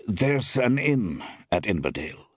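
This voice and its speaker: An earnest, insistent young man, acted out by a male narrator. The voice is a little husky and rises and falls freely. He presses his point with urgency, in the style of an audiobook mystery. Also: lowish voice, sing-song, unhurried, dry recording, clean studio sound, full character voice. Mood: eager.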